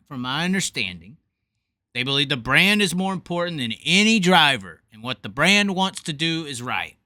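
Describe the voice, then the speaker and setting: Animated, bright-voiced man on a talk show. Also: fairly high pitch, moderate pace. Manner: animated, repeats himself, fired up and emphatic. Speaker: podcast host. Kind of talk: casual opinionated call-in chat.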